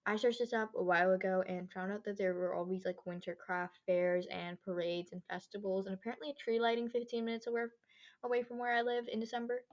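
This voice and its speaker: A woman's voice: mid-range voice, level tone, quick pace. A teenage girl hosting a casual solo podcast, chatting in a rambling, slangy way. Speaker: podcast host. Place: own bedroom.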